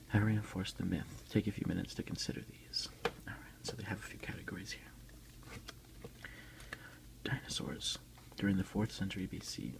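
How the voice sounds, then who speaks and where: Whispering man with deep, gravelly creak. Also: low-quality recording. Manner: soft, unhurried, hushed whispering. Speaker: ASMR video creator. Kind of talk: whispered ASMR unboxing, reading aloud and commenting.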